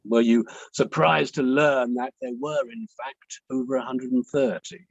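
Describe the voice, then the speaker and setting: A man playing a courteous, deliberate barrister who pauses as he cross-examines, in a table read of an inquiry play. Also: medium pitch, creaky, no room sound. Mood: politely probing. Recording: dull, dry, lo-fi microphone.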